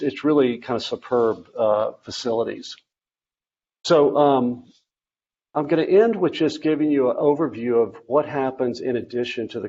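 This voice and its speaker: A man from the architecture faculty giving a virtual open house presentation, talking haltingly with many fillers and restarts. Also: mid-range voice, dark tone, dull audio. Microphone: video call.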